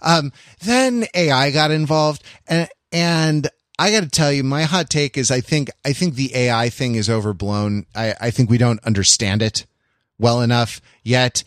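An American man co-hosting a podcast, talking casually in an opinionated, halting, rambling way. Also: mid-range voice, a bit bright, no echo, clean studio sound. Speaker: podcast co-host. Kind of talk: casual discussion.

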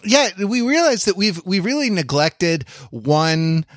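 Higher-pitched man, rambling and wry. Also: big pitch swings, a bit bright, no echo, clean studio sound. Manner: rambling, halting, wry tongue-in-cheek asides. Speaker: podcast co-host. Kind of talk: casual conversational podcast banter.

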